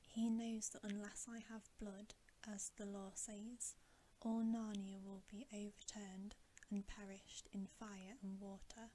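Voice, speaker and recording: Woman playing a proud menacing witch queen, whispered, mellow and slow, bedtime storybook. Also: mid-range voice, flat delivery, a little hiss or hum, close to an everyday voice, low-quality recording. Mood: scornful.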